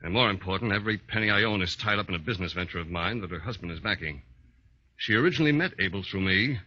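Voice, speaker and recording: Shifty formal brother in 40s radio drama, low very tense sharp voice, measured, old muffled recording. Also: male voice. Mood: distrustful. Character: self-interested, distrustful brother of a rich man's wife. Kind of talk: 1940s radio drama acting. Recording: dull vintage 1940s radio recording.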